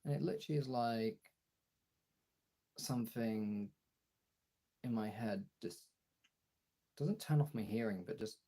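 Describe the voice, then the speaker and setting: Very deep, gravelly man, halting chat. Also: dark tone. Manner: halting, searching for words, trailing off. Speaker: streamer. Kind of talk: casual personal chat, thinking aloud.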